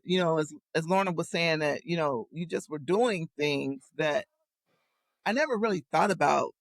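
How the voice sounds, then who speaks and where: A woman's voice: low-pitched, dry recording. A woman in her fifties, a podcast guest, telling reflective and amused stories in casual conversation.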